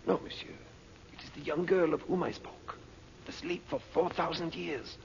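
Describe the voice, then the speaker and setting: An actor playing a sly, mock-humble sorcerer, over-polite in broken English, in radio melodrama style. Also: male voice, on the high side, moderate pace, vocal fry. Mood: hushed, mysterious. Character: sly, obsequious male foreign sorcerer, mock-humble schemer. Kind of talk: radio serial melodrama acting. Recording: muffled, hissy old radio recording.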